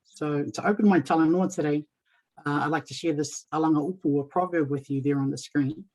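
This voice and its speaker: A man in his thirties with a New Zealand accent, a community coach giving a warm, soft-spoken webinar talk over a video call. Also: on the high side, fairly even intonation, brisk, rough recording. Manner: soft-spoken, warm, with Samoan and Māori greetings. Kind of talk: presenting in a webinar.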